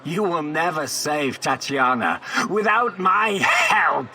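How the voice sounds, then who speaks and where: An actor playing a contemptuous, threatening male villain in a video game, imperious and deliberate. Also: a little high, huge pitch swings, noisy background, heavily acted. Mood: bitter threat. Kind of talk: video game character acting.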